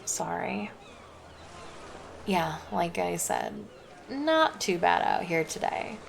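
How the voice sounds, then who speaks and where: A relaxed, flirty lifeguard, as a woman plays her with a low, gravelly voice, drawling slowly and teasing between easygoing remarks. The ASMR roleplay sits over loud beach ambience. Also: slight background noise, heavily acted. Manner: casual, teasing, easygoing chatter.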